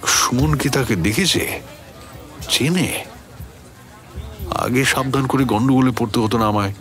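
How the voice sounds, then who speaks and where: A man playing a puzzled, suspicious narrator of a radio story, conversational and expressive, with a West Bengal Bengali accent. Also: mid-range voice, measured pace, natural voice. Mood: bewildered, musing. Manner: conversational, expressive, self-questioning. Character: puzzled, suspicious man recounting a baffling encounter. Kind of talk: dramatized first-person storytelling for radio.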